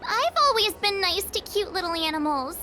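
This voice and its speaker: A woman voicing a sweet, cheerful young girl who loves cute animals, expressive and playful, in a video game. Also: very high voice, normal speed, noisy background. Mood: friendly, curious. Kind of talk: video game character voice acting.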